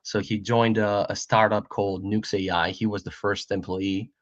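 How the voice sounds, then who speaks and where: Casting: man in his forties from Greece, lowish voice, friendly guest, muffled dry call audio. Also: flat delivery, moderate pace, dry recording. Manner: friendly, repeats words, laughs between points. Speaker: guest, startup co-founder. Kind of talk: interview conversation.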